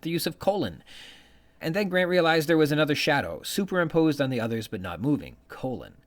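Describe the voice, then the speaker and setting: Lively, expressive male podcast host. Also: on the high side, a little fast. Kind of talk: literary commentary reading from prepared notes, quoting passages.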